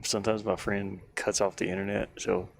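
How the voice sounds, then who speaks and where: A man chatting casually on a livestream while he works, in a halting, rambling, easygoing manner. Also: on the low side, little pitch movement. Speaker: livestreamer, hobby game artist. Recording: clear background noise, live stream.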